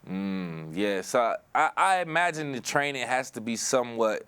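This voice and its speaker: A man's voice: mid-range voice, lively intonation, moderate pace, bright, forceful tone, smooth. An American man hosting an interview, conversational and wordy, often repeating words as he works toward a question. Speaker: interview host.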